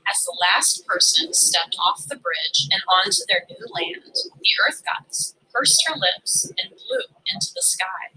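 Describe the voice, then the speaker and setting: A woman reading a children's story aloud as a storyteller, with expressive, dramatic and deliberate phrasing. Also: medium pitch, very noisy.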